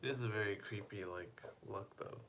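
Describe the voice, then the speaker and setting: A man's voice: lowish voice, brisk, a bit bright, a little hiss or hum. A young man casually chatting on a live stream, playfully teasing and asking viewers questions.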